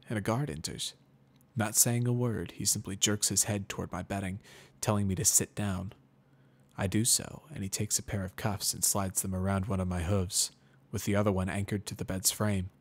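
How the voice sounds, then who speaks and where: Low voiced guy reading a story, gravelly creak, measured and brooding. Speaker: narrator. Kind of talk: first-person dramatic story narration.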